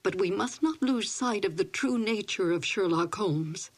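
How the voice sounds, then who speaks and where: An older woman presenting in formal, measured scripted narration. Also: mid-range voice, expressive. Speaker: presenter introducing classic radio episodes.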